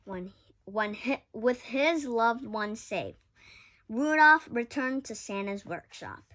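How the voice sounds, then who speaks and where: A woman with a fairly high voice reads a children's story at a slow pace. Her delivery is expressive, and she now and then stumbles over a word and corrects herself. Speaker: story reader. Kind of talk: children's picture-book read-aloud.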